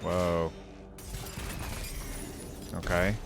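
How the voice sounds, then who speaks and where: Low-voiced man rambling casually, reacting. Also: flat delivery, very slow, creaky, over music. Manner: informal, rambling, thinking aloud. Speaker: reaction video host.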